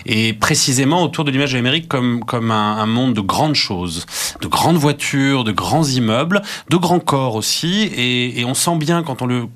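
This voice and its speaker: A man's voice: mid-range voice, animated, moderate pace, a bit bright. A man speaking as a guest on a radio interview, explaining at length in a thoughtful way with frequent hesitations. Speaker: guest critic. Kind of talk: radio interview, analytical commentary.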